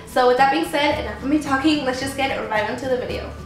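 A woman's voice: high-pitched, fast, loud music behind the voice. Chatty young woman vlogger, a bit sick. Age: young adult. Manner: chatty and upbeat, with small slips and giggles. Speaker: fashion vlogger. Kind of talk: casual vlog talk to camera. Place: small bedroom with string lights, indoors.

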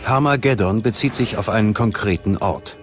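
Low-voiced dramatic male documentary narrator over music. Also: normal speed. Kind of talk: scripted narration. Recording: loud music behind the voice.

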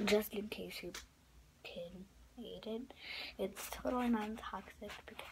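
Young woman, raspy and breathy, casual craft vlog, rambling, noisy home recording. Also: mid-range voice, a little mellow. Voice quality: raspy, often whispery. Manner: rambling, filler-heavy, self-correcting. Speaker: craft vlogger. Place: small bedroom indoors.

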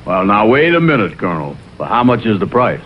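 An actor voicing a gullible, good-natured, lonely bear in an old TV cartoon, speaking in a plain, folksy way. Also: male voice, lowish voice, big pitch swings, fairly quick. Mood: hesitant, plain. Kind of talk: 1960s TV cartoon acting. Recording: dull, noisy old television soundtrack.

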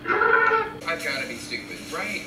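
Teen guy rambling while driving, sarcastic, camera on the dash, cabin echo, loud road noise. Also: high voice, takes it slowly. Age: teens. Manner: chatty, sarcastic, animated. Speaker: vlogger. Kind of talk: casual driving vlog. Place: inside a moving car. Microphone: camera on the dashboard. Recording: roomy car cabin, distant mic, loud road noise.

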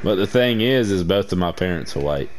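A young man with a fairly deep voice, chatting casually and rambling while playing a game, slangy and self-mocking. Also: animated, a little fast, background noise. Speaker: gaming channel co-host.

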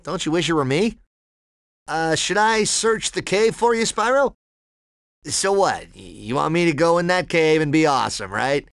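A man's voice: a little high, expressive, moderate pace, vocal fry, no room sound, studio quality. A man voicing a cocky, laid-back young cheetah sidekick in a video game, breezy, slangy and friendly. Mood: upbeat, cocky. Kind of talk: animated video game voice acting.

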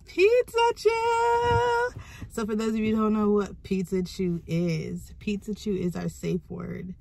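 A young woman vlogging from a parked car, hoarse from a cold, chatting in an animated storytelling way. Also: mid-range voice, a little slow. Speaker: vlogger mother. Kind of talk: casual vlog chat. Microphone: phone held at arm's length.

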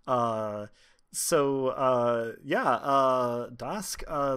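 A man acting as game master, improvising narration of a tabletop game in a halting, conversational way. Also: mid-range voice, fairly bright tone.

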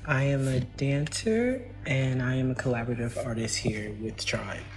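A young dancer from Chicago speaking in a casual, rambling way about becoming an artist. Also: male voice, mid-range voice, moderate pace, faint music behind. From Chicago, Illinois. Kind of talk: casual interview talk.

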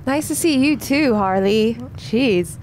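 A woman's voice: on the high side, lively intonation, takes it slowly, noisy background. A woman co-hosting a reaction video, chatting casually and cracking quips. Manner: quippy, agreeable. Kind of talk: casual chat. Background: video game soundtrack.

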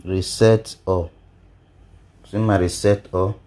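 A man narrating a step-by-step phone tutorial as a video host, halting and repeating himself. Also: deep voice, steady tone, warm tone. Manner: halting, repetitive delivery.

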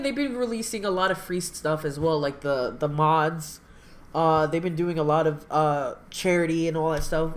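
A man's voice: high voice, fairly bright tone. Exclamatory, slangy man reacting casually. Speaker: reaction video host. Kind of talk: excited live reaction, casual chat. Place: home room with kitchen, indoors.

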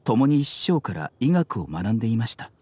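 Man as courteous, enigmatic physician, lively intonation. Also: medium pitch, slight background noise, lightly acted. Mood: reflective, reserved. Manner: polite, measured, softly teasing. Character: courteous, composed young male physician, gently enigmatic. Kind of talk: anime game voice acting.

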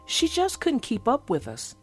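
A woman's voice: high-pitched, wildly swooping pitch, normal speed, husky, faint music behind, full character voice. A woman voicing a proud, kind young hippo girl, a big sister in a storybook. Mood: confident, cheerful.

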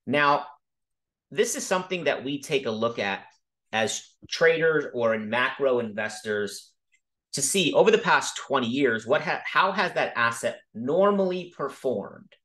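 A man casually teaching about markets over a video call, talking quickly and emphatically and often checking in with "okay?". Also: medium pitch, animated, sharp tone. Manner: fast, emphatic, frequent "okay?" check-ins. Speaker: crypto educator hosting a Zoom call. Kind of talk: casual screen-share teaching.

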